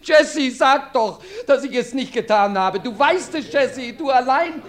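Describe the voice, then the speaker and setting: A broken, cowering brother in a German radio crime play, cross-examined in court. He answers haltingly and defensively, then pleads desperately. His voice sits high and swings widely. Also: expressive. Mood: desperate pleading. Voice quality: halting, pleading, breaks into sobs. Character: George Fenwick, crippled, cowering, desperate brother. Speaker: witness under cross-examination.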